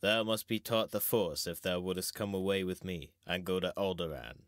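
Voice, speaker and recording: A man playing a wise, grave old knight and mentor in a measured, theatrical Shakespearean reading. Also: low voice, fairly bright tone, no room sound, studio quality. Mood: thoughtful.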